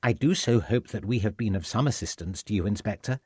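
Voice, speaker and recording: A man's voice: on the low side, brisk, darkish tone, breathy edge, no echo, clean studio sound. A man voicing a genteel elderly lady who is eager to help. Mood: gracious.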